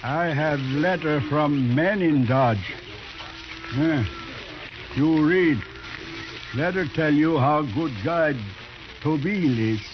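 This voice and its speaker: A proud old army scout in a radio western, pleading his case in clipped, broken English. His voice sits fairly high for a man and moves slowly. The old recording is muffled and clearly noisy. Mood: earnest. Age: older.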